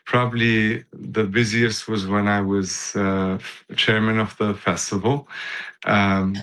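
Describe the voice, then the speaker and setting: A man's voice: fairly low pitch, level tone, slow, a bit bright. A man in his fifties from Lebanon, talking in an interview as a guest, with many fillers and restarts. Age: 50s. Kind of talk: casual interview talk.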